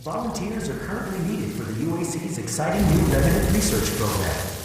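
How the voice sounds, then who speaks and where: A woman's voice: low-pitched, sing-song, fairly quick. A woman playing a cheery, polished corporate announcer in a game, making an upbeat promotional announcement over a public-address speaker. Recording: distant echoing hall announcement, noisy.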